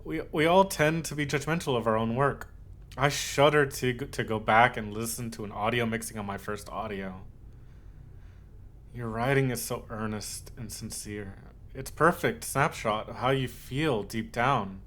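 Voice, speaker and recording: A man acting out an obsessive, lovestruck voice actor in an intimate ASMR roleplay, speaking in a tender, doting and halting way. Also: medium pitch, a bit bright, natural voice. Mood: tender, earnest. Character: obsessive lovestruck male yandere voice actor, soft and possessive.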